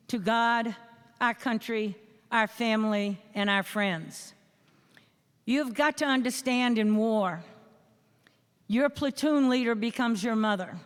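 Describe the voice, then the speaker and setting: A woman's voice: fairly high pitch, clean tone. An older woman with a New England accent, a veteran giving an earnest, emphatic speech at a school program. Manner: earnest, emphatic, with measured pauses. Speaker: veteran guest speaker. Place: school hall or gym. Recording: echoey hall.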